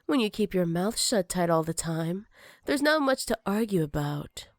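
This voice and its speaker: A woman's voice: fairly low pitch, big pitch swings, normal speed, dry recording, clean studio sound. A woman playing a jealous, possessive villain with magic, teasing and menacing in a sultry ASMR roleplay. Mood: cool, accusing.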